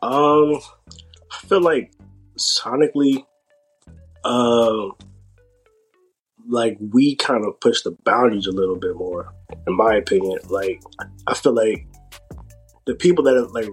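An American man speaking casually as an interview guest, with a halting delivery and a slight speech impediment. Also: mid-range voice, slightly rough, soft background music, low-quality recording. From Georgia, United States. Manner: halting, filler-heavy delivery with self-described speech impediment. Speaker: podcast guest, hip hop artist.

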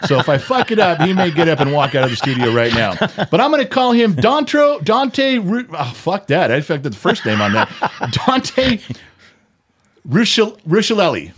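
A man's voice: a little high, very animated, moderate pace, background noise. A man hosting a casual comedy interview podcast in a studio, talking in an informal, jokey way. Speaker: interview show host.

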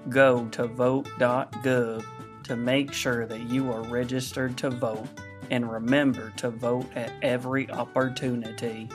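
A man's voice: medium pitch, a little slow, natural voice. A man voicing a stiff small-town mayoral candidate in a campaign ad. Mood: earnest. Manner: stiff, monotone political-ad delivery. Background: guitar music.

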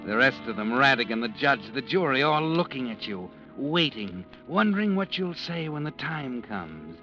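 An actor playing a brooding crime-story narrator in old radio style, suspenseful and deliberate. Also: male voice, mid-range voice, big pitch swings, bright, forceful tone, lo-fi sound. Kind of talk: 1940s-50s radio drama narration. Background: dramatic instrumental music.

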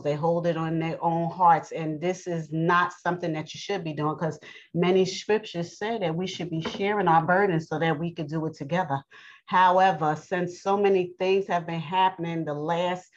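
Low-voiced preaching woman from New York. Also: steady tone, highs cut off. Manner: earnest, unhurried, with many pauses and fillers. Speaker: podcast host and preacher. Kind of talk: informal spoken sermon.

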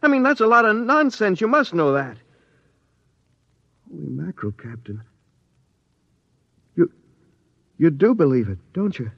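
Husky, earnest, skeptical space crewman. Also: high voice, big pitch swings, unhurried, long pauses, fairly bright tone, dry recording, full character voice. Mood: anxious, pleading. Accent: American. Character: earnest, skeptical male subordinate on a space expedition. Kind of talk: 1950s radio drama acting. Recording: dull vintage radio broadcast recording.

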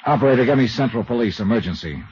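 A man's voice: medium pitch, expressive, very fast, background noise, natural voice. An actor playing a tough, sceptical insurance investigator who narrates his case in a 1950s radio detective drama, with clipped delivery. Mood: urgent. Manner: clipped, hard-boiled. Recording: dull vintage radio recording with music.

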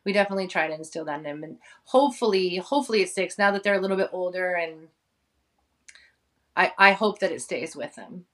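A woman's voice: on the low side, animated, quick pace, a bit bright. A woman in her forties from Hawaii, talking as an interview guest in a chatty, casual way with many fillers and frequent laughter. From Hawaii, United States. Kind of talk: casual conversation.

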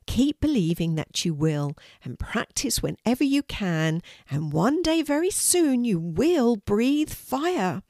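Woman playing a kind, animated young girl. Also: a little high, slow, few pauses, no room sound, clean studio sound, voice pushed up, exaggerated voice. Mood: encouraging. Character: kind, encouraging young girl, gentle and brave. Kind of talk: children's storybook character voice.

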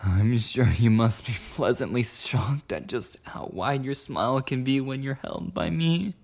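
A man's voice: medium pitch, expressive, measured pace, creaky, darkish tone. A man playing a clingy, possessive yandere boyfriend in an intimate ASMR roleplay monologue, rambling and cooing tenderly with lapses into whispers. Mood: infatuated, eager.

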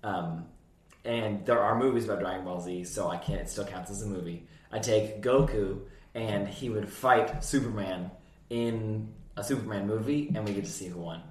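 A young man, a podcast co-host and worship leader, a little hoarse and raspy, chatting in a laid-back way and laughing often. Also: on the low side, normal speed, darkish tone. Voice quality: slightly raspy. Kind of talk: casual chat. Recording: roomy echo.

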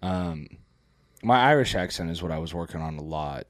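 Low-voiced casual podcast host, dry sound. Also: male voice, lively intonation, normal speed, no echo. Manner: loose, rambling with filler words and swearing. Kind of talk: casual chat.

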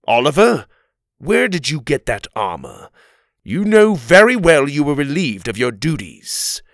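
A booming fairy king, played by a male storyteller, imperious and quick to anger. His commanding, sarcastic delivery swings widely in pitch, and the sound is close and dry. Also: big pitch swings, a bit bright, no room sound, exaggerated voice. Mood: stern, commanding.

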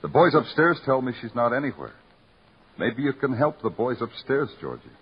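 An actor playing a dry, commanding police lieutenant in an old-time radio crime drama. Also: male voice, mid-range voice, very animated, normal speed, husky. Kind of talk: 1940s radio acting. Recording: muffled old radio recording, faint hiss.

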